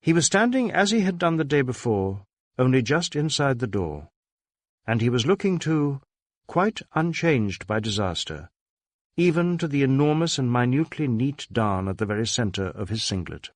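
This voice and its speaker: A man's voice: medium pitch, big pitch swings, normal speed, no echo. Male storyteller with a dry, close sound. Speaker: audiobook narrator. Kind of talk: scripted literary narration.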